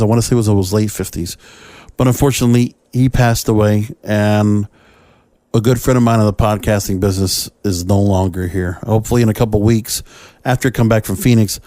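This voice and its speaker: A man speaking as a podcast host, giving solo commentary in halting, short phrases. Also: on the low side, dark tone, no echo.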